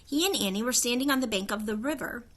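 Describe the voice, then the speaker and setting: A woman reading a children's story aloud, in an expressive narrating style. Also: on the high side, fast talker. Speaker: storybook reader.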